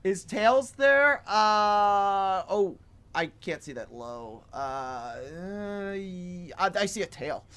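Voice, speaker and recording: Mid-pitched woman, incredulous straight man in comedy. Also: big pitch swings, fairly bright tone, smooth. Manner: incredulous, questioning, halting. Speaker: comedy show performer. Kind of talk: improvised comedy roleplay banter.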